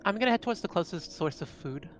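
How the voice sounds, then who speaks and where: A man's voice: high-pitched, expressive, quick pace, soft background music. A man chatting casually as a player in a tabletop roleplaying game.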